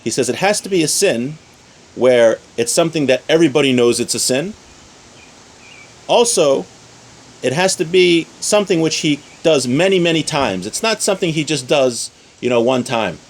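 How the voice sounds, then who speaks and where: A man's voice: on the high side, lively intonation, moderate pace. A man giving an informal religious lesson as a teacher, explaining step by step and often rephrasing his points. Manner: explanatory, repeats and rephrases points with everyday examples. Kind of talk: informal religious lesson, reading source text then explaining.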